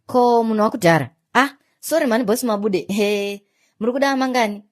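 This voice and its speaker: A woman's voice: a little high, fast talker, lightly acted. A woman voicing a harried, placating food seller with a Zimbabwean accent, taking orders in a comedy cartoon.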